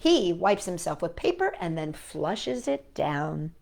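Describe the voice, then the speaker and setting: A woman's voice: fairly low pitch, sing-song, takes it slowly, vocal fry, a little mellow. A woman hosting a children's storytime, reading aloud and chatting playfully with young listeners, often asking them questions. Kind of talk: children's picture book read-aloud with chatty asides.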